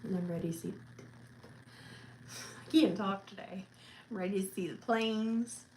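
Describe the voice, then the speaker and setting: A woman in her thirties co-hosting a cruise livestream, chatting in a relaxed, matter-of-fact way and playfully pushing back on her friend. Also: medium pitch, unhurried, slight background noise, low-quality recording. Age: 30s. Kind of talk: casual livestream chat.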